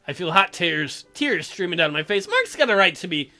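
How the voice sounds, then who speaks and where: A man's voice: high-pitched, big pitch swings, normal speed, pushed and bright, soft background music. A man hosting a let's play, mixing sarcastic, mocking asides with read-aloud narration of a visual novel.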